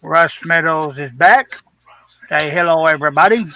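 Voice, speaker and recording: A man co-hosting a live stream, reading chat messages aloud with folksy teasing. His voice sits high and bright. A faint noise runs under it. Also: moderate pace.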